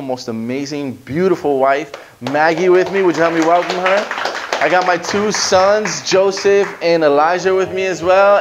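A man in his thirties preaches from a small church stage into a handheld mic, his New coming through. His voice sits a little high for a man, and he talks with lively, conversational energy, restarting sentences as he goes. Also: muffled recording, slight background noise. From Brooklyn, New York. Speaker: guest pastor. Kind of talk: sermon with prayer and scripture reading. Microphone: handheld microphone.

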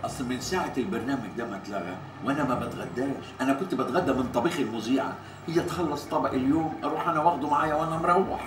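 An actor playing a pompous, demanding Egyptian TV employee in a comedy series, with an officious, declamatory manner. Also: male voice, high-pitched, sing-song, fairly quick. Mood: casual, chatty. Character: pompous, demanding TV employee dictating absurd contract clauses. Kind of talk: Arabic comedy series acting. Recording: echoey room with faint background noise.

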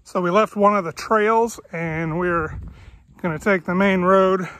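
Family vlogger dad, bright and forward voice, chatty trail narration on a handheld camera. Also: male voice, sits high, a little slow. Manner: chatty, points things out as he walks. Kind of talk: casual vlog narration while hiking. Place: outdoor hiking trail in a coastal park.

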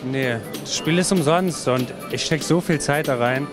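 Young guy chatting in a vox pop, bit high voice, music behind him. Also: normal speed. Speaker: festival visitor being interviewed. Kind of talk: casual chat. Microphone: handheld reporter microphone. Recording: music laid over the voice.

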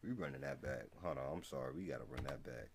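Low-voiced young American man. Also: fast talker, noisy background. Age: young adult. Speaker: reaction video host. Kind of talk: casual reaction commentary. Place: small room at home, indoors.

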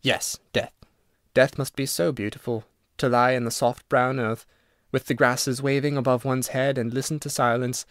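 A man's voice: mid-range voice, little pitch movement, moderate pace, dry recording, clean studio sound, natural voice. A man voicing a weary, sorrowful old ghost from a storybook, dreamy and sighing in manner. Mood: wistful, solemn. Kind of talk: storybook narration character.

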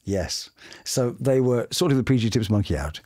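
A man's voice: mid-range voice, normal speed. A middle-aged British man telling anecdotes expressively as a voice actor in a casual interview. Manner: expressive, dramatic storytelling. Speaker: voice actor guest. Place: small home studio, indoors.

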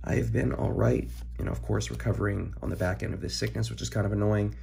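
A hoarse young man, recovering from an illness, chatting casually as he opens trading card packs at his desk, rambling with ums and throat clearing. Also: fairly low pitch, fairly even intonation, quick pace, warm tone, slight background noise. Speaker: card-collecting channel host. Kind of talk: casual pack-opening chat. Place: home room, filmed over a desk.

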